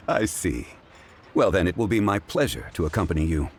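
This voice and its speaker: A man voicing a composed, modest, erudite gentleman in a video game, formal, measured and courteous. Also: sits low, expressive, a little hiss or hum. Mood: calm, amused. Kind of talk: video game dialogue acting.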